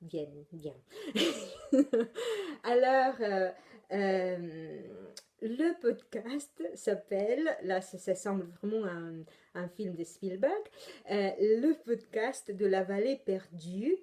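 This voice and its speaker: Slow chatty Italian woman, mellow voice. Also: mid-range voice, big pitch swings. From Italy. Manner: rambling, chatty, many hesitations and self-corrections. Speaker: knitting podcast host. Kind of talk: casual chat.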